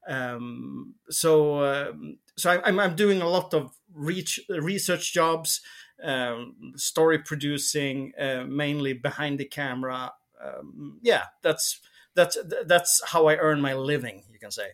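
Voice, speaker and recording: A man's voice: fairly high pitch, slow, a bit bright. A middle-aged man with a Swedish accent, a native Swedish speaker, talking casually as a podcast guest and often repeating words as he searches for a phrase. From Sweden. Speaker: guest, television producer and researcher.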